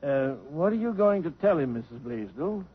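An actor playing a genteel, gently teasing old man who lodges with a family, full of dry quips and courtly phrasing. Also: fairly high pitch, animated, normal speed, very noisy, natural voice. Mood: wry. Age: older. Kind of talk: 1950s radio drama acting. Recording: muffled old radio broadcast.

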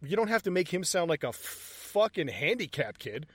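A man's voice: fairly high pitch, very animated, moderate pace, breathy edge, dry recording. A man co-hosting a casual movie review chat, walking through scenes and swearing casually. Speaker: movie review podcast co-host.

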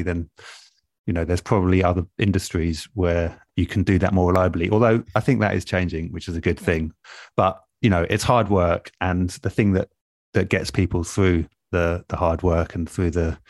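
A man with a low voice talks as a guest in a relaxed interview. He is thoughtful and articulate, measuring his answers with pauses. The sound is dry, with very little room to it. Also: no room sound. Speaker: guest, radio and podcast producer.

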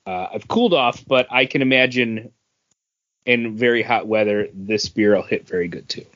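A man's voice: medium pitch, moderate pace, a bit bright. A man talking informally and slangily as a co-host on a casual podcast recorded over an internet call. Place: remote voice call from home. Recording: dull lo-fi internet call audio.

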